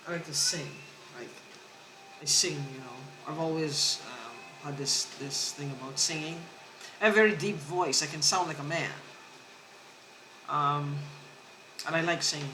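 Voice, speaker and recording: A woman talking to camera in a rambling personal monologue, halting, restarting and repeating words, earnest and insistent. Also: fairly low pitch, sharp tone, slight background noise. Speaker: vlogger. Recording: echoey room recording.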